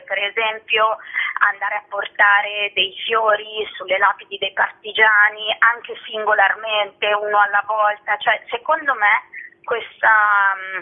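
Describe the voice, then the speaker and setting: Phone-line sound, woman, mid-pitched, conversational interviewee, searching for words. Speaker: interviewee, event organizer. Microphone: telephone. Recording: thin phone line on radio.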